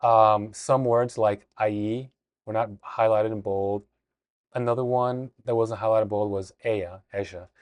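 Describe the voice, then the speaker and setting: A man teaching a casual Spanish lesson in a relaxed, explanatory way, often restarting his sentences. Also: on the low side, measured pace, no room sound.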